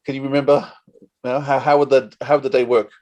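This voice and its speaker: A man with a medium-pitched voice hosts an informal interview. He puts his questions conversationally, starting and restarting as he rephrases them. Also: little pitch movement, quick pace, a little mellow. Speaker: interviewer, host.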